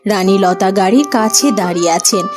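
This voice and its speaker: Female storyteller, scripted fairytale narration, clear background music. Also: medium pitch, fairly quick.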